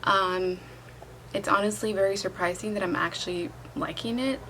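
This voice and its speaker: A woman's voice: medium pitch, moderate pace, sharp tone, slight background noise, poor audio. A young woman talking casually in a book vlog, chatty and candid, reacting aloud as she reads. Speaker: book vlogger. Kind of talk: casual reading vlog talk.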